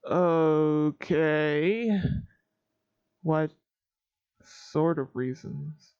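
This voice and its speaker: A man's voice: on the high side, steady tone, drawn-out words, darkish tone, smooth. A man playing a depressed, reserved office worker in a comic dub, speaking in a terse, subdued way. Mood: resigned. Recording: dull distant recording with background music.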